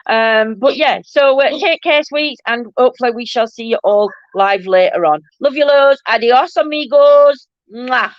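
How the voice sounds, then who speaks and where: A middle-aged woman hosts a casual livestream. Her voice is croaky and a bit nasal, and she rambles affectionately to her viewers. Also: a little high, measured pace, few pauses, no echo, lo-fi sound. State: croaky, nasal from hay fever. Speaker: livestream host. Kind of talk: casual live-stream chat with viewers.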